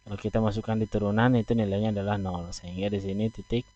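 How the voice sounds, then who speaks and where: A man teaching math, talking through a graph step by step with slow, deliberate pauses. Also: fairly low pitch, steady tone, warm tone. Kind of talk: explaining at a board. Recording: dull, dry lo-fi recording.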